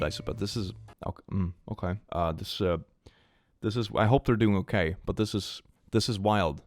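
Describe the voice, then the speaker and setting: A man from Sweden doing comedic reaction commentary, reading posts aloud with mock drama and sarcastic, incredulous asides. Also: mid-range voice. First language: multilingual, not native English. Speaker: YouTube commentary host.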